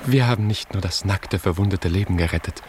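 An actor playing a courteous, earnest nobleman and father, solemn and measured in 1950s German radio drama style. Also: deep voice, noisy background, natural voice. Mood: earnest, pleading.

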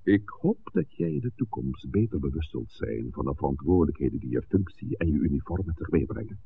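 Stern village priest, very low gravelly voice, admonishing, old radio drama. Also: male voice, very animated, heavily acted. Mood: stern, reproachful. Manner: admonishing, measured, formal. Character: stern village priest scolding his parishioners, shrewd and authoritative. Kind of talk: 1960s radio play acting. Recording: tinny, noisy old radio recording.

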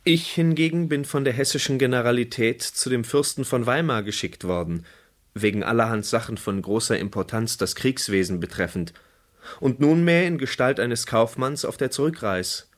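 An actor playing a loyal soldier envoy disguised as a merchant, speaking formal archaic German in a radio drama. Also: male voice, medium pitch, normal speed, lightly acted. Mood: earnest, concerned.

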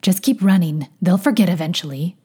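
A woman narrator puts on a dignified male master for a fan fiction audiobook. The voice sits low and dry. He sounds evasive and embarrassed, halting when cornered. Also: mellow, husky. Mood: snappish.

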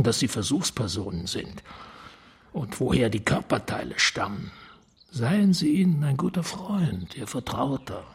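A man acting an urgent, persuasive official in a German radio crime drama, with a very husky, breathy voice. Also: medium pitch, exaggerated voice. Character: insistent official briefing a secret experiment.

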